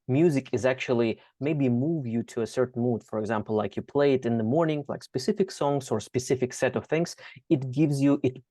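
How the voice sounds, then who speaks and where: A man of middle pitch asks long, meandering questions in a casual tone. His voice sounds close and dry, with no room echo. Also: brisk, warm tone. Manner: loose, rambling questions padded with "like" and "you know". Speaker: interviewer.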